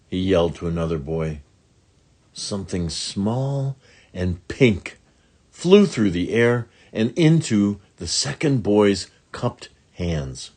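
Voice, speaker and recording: A man's voice: lowish voice, darkish tone. A man narrating a children's storybook expressively, with dramatic emphasis on words. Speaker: children's book read-along narrator.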